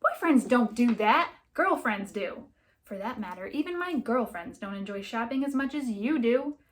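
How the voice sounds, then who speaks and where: A woman's voice: a little high, lively intonation, normal speed, slight background noise, close to an everyday voice. Woman acting an indignant, incredulous jilted young woman. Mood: exasperated ranting. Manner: exasperated, emphatic, stammering disbelief.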